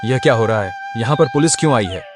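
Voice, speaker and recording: A man plays an easily rattled, greedy landowner in a cartoon tale. He talks quickly and urgently at medium pitch. Music is clearly heard behind. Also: animated, lightly acted. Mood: panicky. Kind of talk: cartoon story dialogue.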